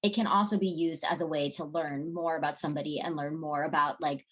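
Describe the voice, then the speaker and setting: Low-voiced female podcast co-host, earnest and reflective, loose and filler-heavy. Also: steady tone, fairly quick. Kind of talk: casual podcast chat.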